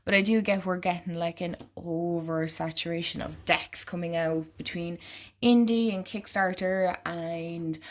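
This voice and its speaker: A woman vlogger walking through an oracle card deck in casual, rambling chat, her voice slightly croaky and congested. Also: lowish voice. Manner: chatty and rambling, lots of "like".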